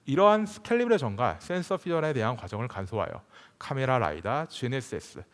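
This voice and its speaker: A man delivers a formal, scripted technical presentation in a reverberant hall. His pitch is mid-range and swings in a lively way across phrases, while the wording stays measured and carefully prepared. The long reverb of a conference venue surrounds his voice. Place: large conference hall stage.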